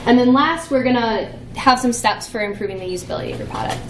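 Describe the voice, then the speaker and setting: A woman's voice: on the high side, lively intonation, fast, noisy background. Playful young woman presenting in an echoey room. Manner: playful, chatty with many fillers. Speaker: conference presenter. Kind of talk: conference talk. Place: conference room.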